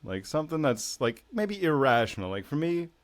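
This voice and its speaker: A man hosting a podcast, with a low voice, chatting in a laid-back, joking way and swearing casually. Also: very animated, moderate pace. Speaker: podcast host.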